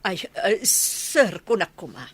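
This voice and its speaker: A woman acting a stubborn, scolding house caretaker in an Ilocano radio drama, sharp-tongued in manner. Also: medium pitch, very animated, slow pace, husky, no room sound, exaggerated voice. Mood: defiant, indignant.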